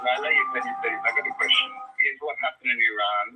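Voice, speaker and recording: A man's voice: medium pitch, bright, forceful tone, distant mic, soft background music, rough recording. A man moderating a heated debate, firmly calling on people and cutting them off. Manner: firm, fast, repeats names to take control.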